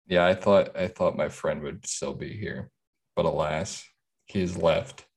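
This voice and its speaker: A man's voice: low-pitched, slow pace, a bit bright, slightly rough. A man co-hosting a casual podcast chat with dry, sarcastic jokes. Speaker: podcast co-host.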